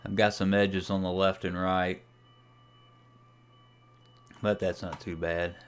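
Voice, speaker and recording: A man's voice: low-pitched, flat delivery, slow, smooth, soft background music. A man giving a casual, unscripted screen-share tutorial as a radio hobbyist, thinking aloud as he operates. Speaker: amateur radio hobbyist demonstrating software.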